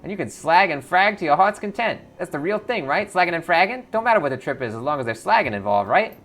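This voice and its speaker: A twitchy orc hustler from a cyberpunk game, acted out by a man, pitched well above a normal male voice. He is loud and jittery, repeating himself in slangy sales patter, with music playing underneath. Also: lively intonation, quick pace. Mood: manic, eager.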